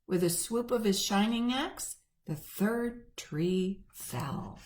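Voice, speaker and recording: A woman reading a picture book aloud to children, gently and expressively. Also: medium pitch, expressive, takes it slowly, slight background noise. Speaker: church children's storyteller.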